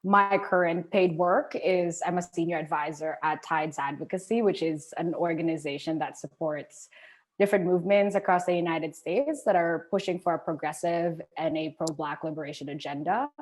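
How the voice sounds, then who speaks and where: Young woman activist, relaxed reflective talk. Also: low-pitched, moderate pace. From Philippines. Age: young adult. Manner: thoughtful, relaxed, with frequent fillers. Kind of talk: conversational self-introduction.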